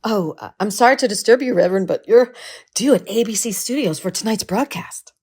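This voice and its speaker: An actress playing a polite, deferential secretary in an audio drama, with an apologetic manner. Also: female voice, mid-range voice, a little fast, darkish tone, breathy edge, lightly acted.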